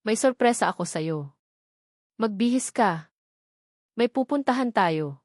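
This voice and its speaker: A woman's voice: mid-range voice, lively intonation, a little slow, no echo, clean studio sound. A woman with a Filipino accent voicing a devoted, tender man in love in an audio story, earnest and emotional.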